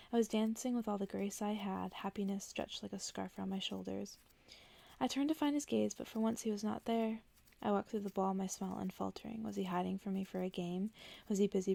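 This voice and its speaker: Creaky-voiced woman reading softly, ASMR style. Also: mid-range voice. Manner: soft-spoken, often dropping into whisper. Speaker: ASMR host reading a story aloud. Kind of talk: ASMR bedtime story reading.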